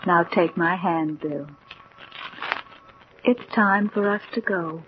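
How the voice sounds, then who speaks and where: An actress in a 1940s radio drama playing a calm, alluring young woman who is Death, soothing and gently insistent. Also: fairly low pitch, slow. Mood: gentle, calm. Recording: dull, noisy 1940s radio recording.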